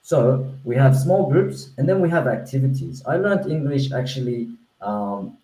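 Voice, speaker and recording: Mellow-voiced man, roomy echo. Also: mid-range voice, animated, moderate pace. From Australia. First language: Korean. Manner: earnest, with frequent restarts and fillers. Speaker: founder presenting a language-learning platform. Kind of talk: online webinar presentation. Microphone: video call.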